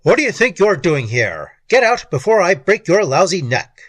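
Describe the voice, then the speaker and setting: A man voicing a gruff, bullying crime boss in a story reading, with a husky voice and a barking, bossy delivery. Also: sits high, very animated, normal speed, fairly bright tone, no room sound, pitched above the performer's own voice, heavily acted. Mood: furious. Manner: barking, domineering.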